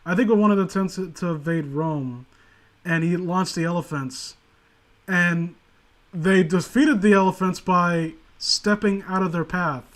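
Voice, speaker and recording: High-voiced man, profane joking banter. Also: fairly even intonation, measured pace, a bit bright. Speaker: host of a story reading stream. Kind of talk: casual chat, ranting.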